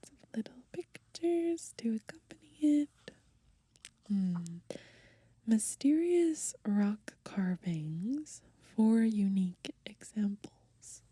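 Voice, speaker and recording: Softly whispering young woman, breathy and mellow. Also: fairly high pitch, no echo. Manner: unhurried, gently musing asides. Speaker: ASMR host. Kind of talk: whispered ASMR, browsing and reading from a book. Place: outdoors on grass.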